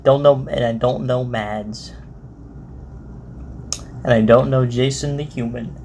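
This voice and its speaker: A man's voice: mid-range voice, steady tone, slow, a little mellow, slight background noise. A man giving casual, off-the-cuff reaction commentary, rambling and repeating his words. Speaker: reaction host.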